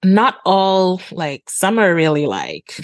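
A woman in her forties from Trinidad and Tobago, chatting as a podcast guest. She has a low voice, laughs easily and sounds animated. The recording is dry and close. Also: slow pace, no room sound. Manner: laughs often, animated and open. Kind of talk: conversational interview.

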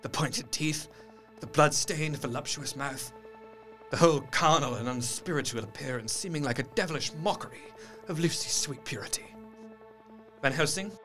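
A man's voice: on the high side, normal speed, bright, forceful tone, faint music behind. A man playing an earnest diarist recounting horrors in a gothic audio drama, with formal, intense, literary narration. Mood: grim, sombre.

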